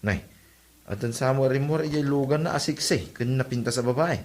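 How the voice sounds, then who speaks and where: A man's voice: medium pitch, normal speed, darkish tone, natural voice. A man playing an easygoing, bantering big-brother street sweeper in an Ilocano comedy drama.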